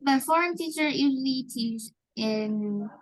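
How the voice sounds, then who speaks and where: A young woman with a Vietnamese accent, an English learner speaking in halting sentences and searching for words. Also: high-pitched, poor audio. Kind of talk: online English lesson conversation.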